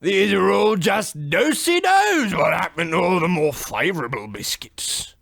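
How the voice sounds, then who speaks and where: A bumbling, theatrical Cockney chimney sweep for a comic radio play. The voice is fairly high and gravelly, stretching words out slowly in chummy villainous banter. It is recorded close and dry. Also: male voice, very animated, a bit bright, no echo, heavily acted. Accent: exaggerated Cockney. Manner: theatrical rhyming-slang banter. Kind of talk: comic old-time radio play acting.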